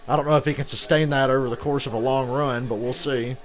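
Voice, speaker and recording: A man's voice: medium pitch, steady tone, quick pace. A man in his twenties chatting casually and profanely as he reacts live to a race broadcast. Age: 20s. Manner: joking, profane, talks loosely over the broadcast.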